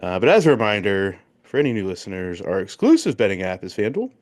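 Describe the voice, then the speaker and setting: A man co-hosting a casual sports betting podcast, joking and reading off records and picks in quick bursts. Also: lowish voice, sing-song. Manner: jokey, recaps bets in quick bursts. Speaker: podcast co-host.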